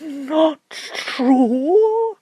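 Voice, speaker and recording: Pompous male prosecutor for an audiobook, acted by a man: raised pitch, laboriously drawn-out words, incredulous, theatrical. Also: animated, dark tone, dry recording, full character voice. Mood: fierce, incredulous. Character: pompous, theatrical male Attorney General cross-examining a witness. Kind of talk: audiobook character voice.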